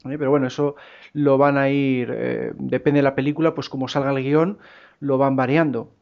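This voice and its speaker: A man's voice: mid-range voice, flat delivery, moderate pace, vocal fry. A man hosting a casual discussion, putting questions to his guests and acknowledging them. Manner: asks guests questions, frequent acknowledging murmurs. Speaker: debate host. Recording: muffled lo-fi audio.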